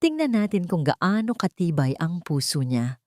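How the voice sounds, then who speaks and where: A woman's voice: lowish voice, sing-song, normal speed, a little mellow, dry recording, clean studio sound. A woman voicing a cold, mocking, scheming uncle, the villain of a dramatic story, with menacing murmurs.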